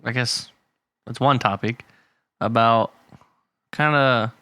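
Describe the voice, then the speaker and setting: A man in easy podcast conversation, his voice bright and forward, recorded close and dry behind a pop filter. He rambles, restarts his sentences and laughs a lot. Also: medium pitch, takes it slowly, clean tone, dry recording. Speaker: podcast co-host. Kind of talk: casual chat.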